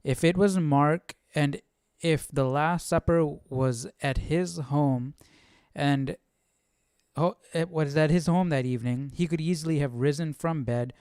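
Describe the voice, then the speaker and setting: A man's voice: a little high, unhurried, darkish tone, clear, resonant tone, no echo, clean studio sound. A man hosting a Bible study, giving casual, informal commentary as he muses aloud.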